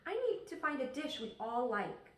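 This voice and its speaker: A woman's voice: high-pitched, very animated, moderate pace, voice pushed up. A woman voicing an earnest, eager young boy who is easily frustrated, in a children's storybook. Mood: earnest, questioning. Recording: echoey room, distant microphone.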